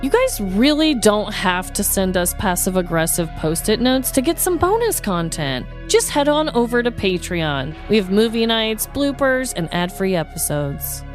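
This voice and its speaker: A woman co-hosting a podcast in casual, comedic chat, with a chatty, giggly, animated manner. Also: mid-range voice, moderate pace, few pauses, faint music behind. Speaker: podcast co-host.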